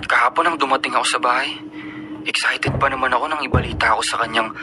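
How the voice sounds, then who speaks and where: An actor playing a polite high school student who is top of his class and hurt by his cold father, in a radio drama. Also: steady tone, fast talker, lightly acted. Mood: earnest. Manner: polite, deferential, often subdued. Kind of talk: Filipino radio drama acting. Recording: noisy radio broadcast recording.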